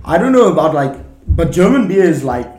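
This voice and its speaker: Man, podcast host, slightly high voice, chatty, laughs easily, echoey room. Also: very animated, fairly quick, dark tone. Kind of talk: casual chat. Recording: roomy echo.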